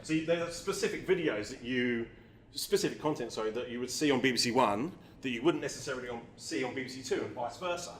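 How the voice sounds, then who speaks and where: A middle-aged man with a mixed English and New Zealand accent presents at a marketing meetup, chatty and self-deprecating, sprinkling in ums as he goes. His voice sits at an ordinary pitch. A faint room ambience hangs behind him. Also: quick pace, slight background noise. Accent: mix of English and New Zealand. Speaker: marketing agency founder giving a talk. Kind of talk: conference talk to a live audience. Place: meetup venue with audience.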